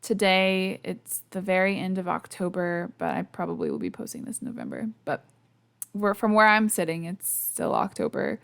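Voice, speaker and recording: A young woman with a gravelly, fraying voice chats on her podcast mic, sleepy and hungover. She rambles warmly with plenty of ums and quick laughs, poking fun at herself. Her pitch sits in an ordinary middle range. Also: big pitch swings. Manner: chatty, self-deprecating, laughs often, lots of fillers. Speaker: podcast host. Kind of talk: casual solo podcast chat. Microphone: podcast microphone.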